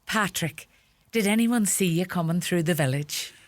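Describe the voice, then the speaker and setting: An actress playing a middle-aged, bossy Donegal mother in a radio play, brisk and commanding. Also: fairly low pitch, dry recording, close to an everyday voice. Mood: brisk, organising. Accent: Donegal Irish. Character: stern, bossy Irish farmhouse mother of grown sons.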